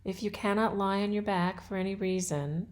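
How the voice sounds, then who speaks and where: A woman guiding a yoga nidra relaxation as an instructor, with soothing, measured and deliberate phrasing. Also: mid-range voice, steady tone. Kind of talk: guided meditation and relaxation instruction.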